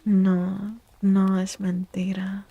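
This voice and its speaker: A woman speaking softly and breathily in a gentle, unhurried near-whisper, chatting as an ASMR livestream host and often softly repeating phrases. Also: mid-range voice, monotone, dry recording. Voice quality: creaky. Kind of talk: soft-spoken ASMR livestream chat.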